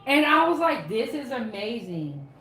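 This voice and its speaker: A woman's voice: fairly high pitch, very animated, measured pace, a bit bright, a little hiss or hum. A woman co-hosting a live cooking stream, chatting casually, reading viewer comments and laughing often. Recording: echoey room.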